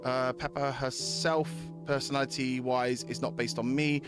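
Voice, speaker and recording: A man's voice: mid-range voice, little pitch movement, moderate pace, over music. A man live-streaming a game, chatting casually with viewers, repeating himself and reading story text aloud. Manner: repeats phrases while addressing chatters.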